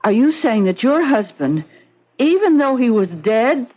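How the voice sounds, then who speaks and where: A young wife with a slightly high, breathy voice that swings widely as she worries. The sound is dull and muffled, like an old radio play recording. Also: very animated, measured pace, a little mellow. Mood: anxious. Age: young adult. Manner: earnest, anxious. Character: young newlywed wife, anxious and uneasy. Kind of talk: vintage radio drama acting.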